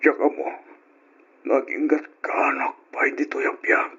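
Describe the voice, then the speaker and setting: A man acting an ailing, repentant lover in a radio drama, pleading haltingly in a weak, breathy, raspy voice. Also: mid-range voice, fairly even intonation, pushed and bright. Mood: weak, remorseful. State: weak and sickly. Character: ailing, repentant man begging forgiveness from his former love. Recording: dull lo-fi radio drama audio.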